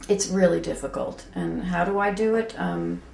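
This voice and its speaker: A woman in her mid-fifties speaks in a low, gravelly voice, candid and self-deprecating, pausing often with fillers and restarting her sentences. The recording comes from an echoey room with a faint hum underneath. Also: a little mellow. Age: 50s. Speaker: graphic designer, interviewee. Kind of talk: candid reflective interview talk.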